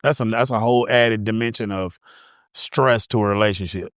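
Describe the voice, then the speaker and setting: A man's voice: lowish voice, moderate pace, dry recording, clean studio sound. A man of fifty-five telling a frank story in a casual podcast chat. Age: 50s. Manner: candid storytelling, laughing easily.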